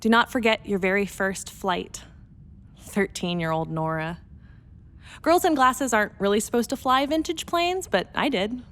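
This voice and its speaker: A woman's voice: mid-range voice, normal speed, a bit bright, slight background noise, natural voice. A woman playing a determined, nostalgic pilot in a radio play monologue, earnest and insistent as she recites to herself. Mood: nostalgic, proud.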